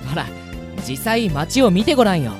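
A woman's voice: fairly low pitch, big pitch swings, moderate pace, over music. A woman playing a polite, sensible younger brother, an earnest young mage who chides his elder, in a Japanese fantasy radio drama. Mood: reasoning.

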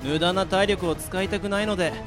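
A haughty magical beast, voiced by a man, talks down with polite, snide aloofness. The voice is high for a male, with music clearly behind it in this radio drama. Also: steady tone, fairly quick, natural voice. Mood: aloof, snide. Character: haughty, sardonic Cerberus magical beast, fussy gourmet. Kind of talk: amateur radio drama acting.

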